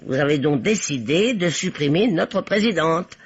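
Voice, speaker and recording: An actress playing a shrewd, stern spinster sleuth in a vintage French radio mystery, dryly ironic and deductive. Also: female voice, low-pitched, animated, moderate pace, breathy edge. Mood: probing. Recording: muffled old radio drama recording.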